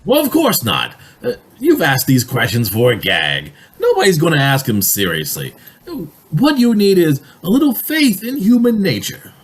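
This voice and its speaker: A man acts out an excitable radio-drama boss with a high, husky voice. He is bossy and blustering, sputtering and emphatic, and his pitch swings wildly. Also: moderate pace, full character voice. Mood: dismissive, blustering. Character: blustering, excitable male company boss, in denial then panicking.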